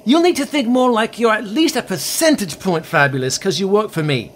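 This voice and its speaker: A man's voice: sits high, expressive, fairly quick, faint music behind. An actor playing a vain, needy, pompous washed-up male rock star in a comedy audio drama. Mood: dismissive. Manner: pompous, self-important.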